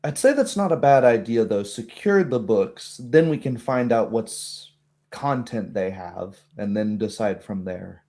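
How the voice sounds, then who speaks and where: A man's voice: lowish voice, animated, a little slow, warm tone. A man voicing a thoughtful, cautious gnome bard in a tabletop game, pondering as he talks. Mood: wary, pondering.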